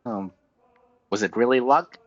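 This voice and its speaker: A man's voice: mid-range voice, a little slow, fairly bright tone, muffled recording. A man giving casual commentary as he streams a game playthrough.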